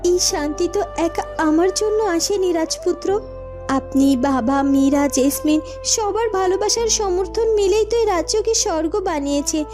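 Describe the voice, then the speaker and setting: A woman's voice: very high-pitched, expressive, a little slow, over music. A woman voicing a kind, dignified young cartoon princess who speaks in a reassuring, moralizing way. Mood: gentle, wise. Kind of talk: Bengali fairy-tale cartoon dubbing.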